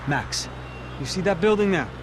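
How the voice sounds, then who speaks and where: An actor playing a shrewd police officer in a video game, with a sardonic, probing manner. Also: male voice, sits high, lively intonation, moderate pace. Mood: persuasive, urgent. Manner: probing, sardonic, rhetorical questions. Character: shrewd, cynical Brazilian police officer, goading and knowing. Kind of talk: video game cutscene dialogue. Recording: noisy, with car and street ambience.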